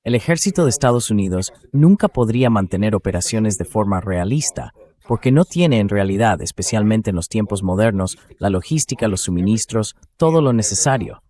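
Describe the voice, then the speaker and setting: A man's voice: lowish voice, lively intonation, brisk, dark tone. A man's synthetic dubbing voice giving blunt, sardonic political and military commentary as an interview guest.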